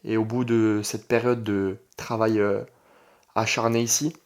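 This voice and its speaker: A man's voice: on the low side, little pitch movement, a little slow. Young Frenchman talking off the cuff, emphatic. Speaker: online entrepreneur and video creator. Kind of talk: casual vlog monologue.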